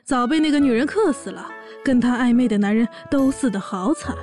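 A woman's voice: on the high side, lively intonation, brisk, a little mellow, soft background music, lightly acted. A woman playing a brash, boastful pregnant orc warrior, a sassy and bossy older sister, in a fan audio drama. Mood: wry, gossipy. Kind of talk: audio drama acting and narration.

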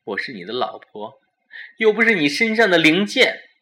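Indignant young wife, performed by a man, suspense radio play: raised pitch, shrill, loud and accusing. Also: big pitch swings, moderate pace, exaggerated voice. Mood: furious, accusing. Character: indignant young wife berating her rich husband. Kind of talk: suspense audio drama acting.

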